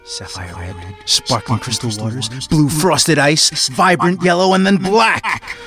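Gravelly, swooping man as brooding riddler. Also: fairly high pitch, animated, measured pace. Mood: intense, brooding. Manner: theatrical, swooping between hushed and heightened. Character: brooding, cryptic poetic voice of darkness, riddling and intense. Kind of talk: dramatic spoken-word poetry. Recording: loud music under the voice.

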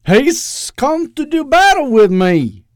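High, ranting theatrical supervillain. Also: male voice, sing-song, moderate pace, dry recording, clean studio sound. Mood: gleeful. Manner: melodramatic, pompous. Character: theatrical male would-be supervillain, grandiose, ranting, boastful.

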